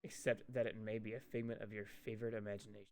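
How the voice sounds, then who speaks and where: A man's voice: fairly low pitch, little pitch movement, very fast, darkish tone, a little hiss or hum. A man doing casual, profane playthrough commentary on a game, reading dialogue aloud theatrically with asides of his own. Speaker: streamer reading a visual novel aloud. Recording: music behind the voice.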